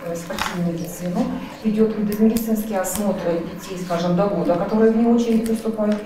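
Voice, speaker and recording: A woman's voice: medium pitch, steady tone, fast talker, noisy background. A woman doctor plainly explaining a colleague's workload. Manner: listing duties plainly. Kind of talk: unscripted explanation. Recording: distant echoey room with ambience.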